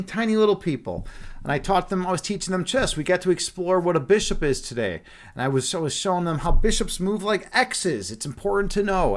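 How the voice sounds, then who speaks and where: A young man with a Chicago accent, casually chatting with viewers on a live stream, laid-back and chatty, calling people man and dude. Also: sits high. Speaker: streamer and chess instructor.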